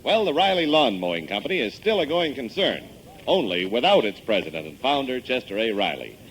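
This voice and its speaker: A man announcing in a polished, emphatic 1950s radio style, delivering show introductions and sponsor pitches. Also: slight background noise, rough recording. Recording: vintage 1950 radio recording.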